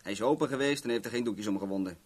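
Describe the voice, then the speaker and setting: An actor playing a cocky, talkative young garage owner in a Dutch radio play, rambling and self-assured. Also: male voice, lowish voice, very fast, a little mellow, breathy edge. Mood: breezy, chatty.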